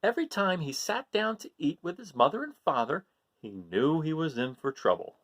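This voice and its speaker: A man reading a picture book aloud to children in an animated way, asking his listeners questions. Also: on the high side, normal speed. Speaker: read-aloud storyteller.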